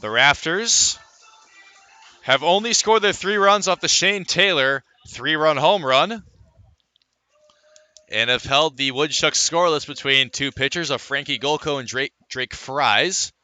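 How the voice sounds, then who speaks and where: Man, live baseball play-by-play, sharp forceful tone, higher-pitched, clipped dramatic calls. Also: measured pace, no room sound, soft background music. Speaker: radio play-by-play announcer.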